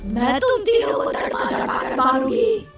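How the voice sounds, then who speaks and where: A woman's voice: high voice, very animated, moderate pace, far from the mic, music in the background. A woman voicing a menacing, vengeful female ghost in a cartoon horror story, stretching out her threats. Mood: cold threatening. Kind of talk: animated horror story acting.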